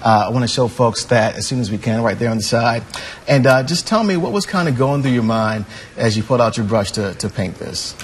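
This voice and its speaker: A man's voice: medium pitch, expressive, fairly quick, breathy edge. An American man hosting a live morning television interview in a polite, affirming manner. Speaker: TV morning show host.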